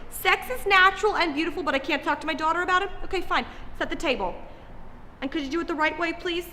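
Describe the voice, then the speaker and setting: Exasperated nagging mom, shrill sarcastic scolding, bright edge, played by a woman. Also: quick pace, in a large hall, a little hiss or hum. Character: exasperated nagging middle-aged mother.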